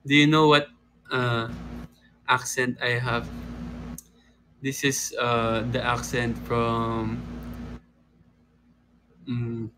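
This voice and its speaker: A man's voice: medium pitch, little pitch movement, very slow, pauses often, bright, forceful tone, a little hiss or hum. A young man hosting a casual phone livestream from home, chatting with viewers and breaking into playful sung lines. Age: young adult. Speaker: livestream host. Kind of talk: casual livestream chat with singing. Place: home room indoors. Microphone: phone camera close up.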